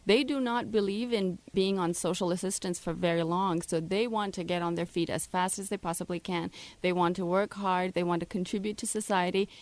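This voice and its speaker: A woman's voice: on the low side, no room sound. A woman speaking as an interview guest, explaining things in an articulate and earnest way. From grew up in Russia, Egypt, Canada and Brazil. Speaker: interview guest from a multicultural center. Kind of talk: radio interview, explanatory conversation.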